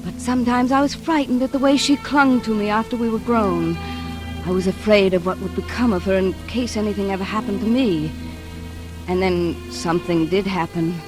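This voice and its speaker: A woman's voice: medium pitch, fairly even intonation, normal speed, close to an everyday voice, rough recording. An actress in a 1940s radio drama playing a haunted, wistful woman, dreamy and hesitant as she confides her memories. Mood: wistful, recounting. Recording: vintage radio recording with music behind.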